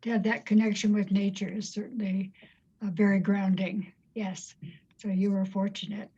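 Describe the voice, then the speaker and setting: A woman hosts a conversational interview in a mellow tone. Her voice has a husky edge, and the audio sounds slightly dull, like a video call. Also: mid-range voice, flat delivery, normal speed. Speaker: interview host.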